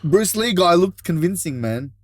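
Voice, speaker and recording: A man's voice: high-pitched, animated, moderate pace, dry recording, clean studio sound. A man co-hosting a podcast, chatting in a laid-back, blokey way with loose phrasing and swearing. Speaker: podcast co-host. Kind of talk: casual banter, swearing. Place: vintage caravan turned studio.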